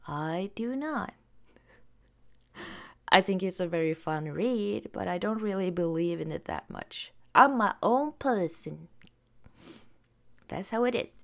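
Norwegian woman vlogger, slow and unhurried, soft giggly delivery reading comments. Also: medium pitch, creaky. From Norway.

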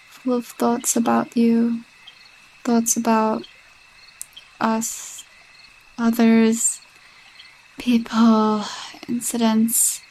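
A woman's voice: a little high, slightly rough, heavily acted, poor audio. A young woman voicing a possessive, jealous girlfriend with a sinister streak, sweet-talking and coaxing in a roleplay audio drama. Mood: obsessively worried. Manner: sweet-talking, coaxing, with unsettling laughs and hushed asides.

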